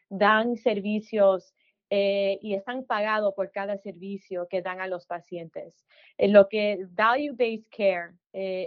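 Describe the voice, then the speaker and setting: A woman in her thirties with an American English accent presents to a group of residents in careful, hesitant Spanish. She pauses on fillers and reaches for English words, and her voice sits at a middle pitch. The recording is slightly dull and lo-fi. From United States. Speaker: clinic manager.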